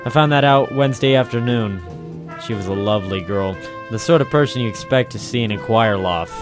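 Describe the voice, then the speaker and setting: An actor playing a cynical, wisecracking waterfront operator in a 1940s radio noir drama, deadpan and terse. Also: male voice, mid-range voice, a little fast, music in the background, natural voice. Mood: sardonic, tough. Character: cynical hard-boiled waterfront private operator, male, wisecracking. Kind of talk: 1940s radio noir first-person narration and dialogue. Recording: 1940s radio broadcast recording.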